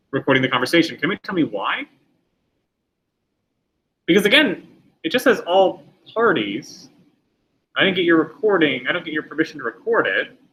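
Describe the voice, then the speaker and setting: A middle-aged man gives a classroom lecture in a loose, conversational way, often turning to ask the students questions. His voice is bright and forceful, pitched a little high for a man. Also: a little hiss or hum, low-quality recording. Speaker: teacher.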